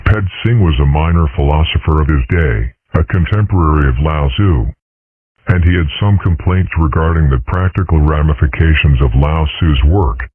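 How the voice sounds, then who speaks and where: A man voicing a placid, philosophical cartoon father, husky, measured and deadpan, in an amateur comic dub. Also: a bass voice, no room sound. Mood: calm, scholarly. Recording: muffled, radio-like lo-fi audio.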